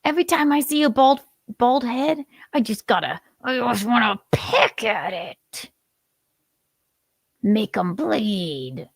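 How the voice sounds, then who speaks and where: A gleeful, menacing hawk villain in his forties, put on by a woman, bragging without a trace of regret. The voice is gravelly and swoops up and down. It sounds close and dry. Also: fairly high pitch, lively intonation, slow pace, no echo, voice pushed up, full character voice. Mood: unrepentant. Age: 40s. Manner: gleeful, unrepentant, menacing boasts. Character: violent male hawk who hates bald men.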